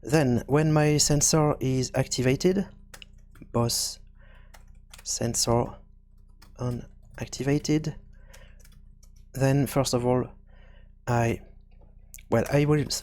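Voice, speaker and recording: A man presents a screencast tutorial at a slow pace. His pitch sits a little high for a man. He talks hesitantly, working things out aloud as he goes. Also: a little mellow. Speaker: video game programming tutorial host.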